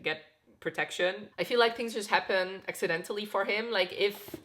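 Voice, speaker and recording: A woman's voice: sits low, fairly bright tone. A woman reviewing a romance novel in a casual, chatty way, tired and coming down with a cold. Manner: chatty and candid, rambling asides. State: getting sick, sore throat, tired. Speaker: book reviewer.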